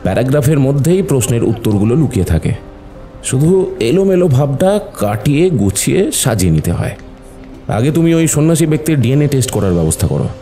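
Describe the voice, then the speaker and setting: A man acting a calm, patient, shrewd doctor turned detective in an audio drama, measured and mentoring. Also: medium pitch, soft background music. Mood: encouraging.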